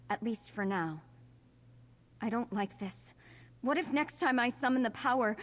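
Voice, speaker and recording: A woman's voice: on the high side, long reverb tail. A woman voicing an anxious young woman who fears her own magic, in a video game. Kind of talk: video game character dialogue.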